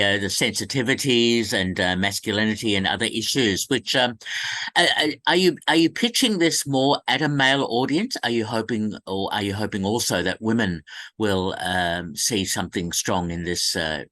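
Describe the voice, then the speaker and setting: A man hosting a conversational arts interview, feeling his way through questions in halting phrases. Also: fairly low pitch, sharp tone. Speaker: interviewer, host.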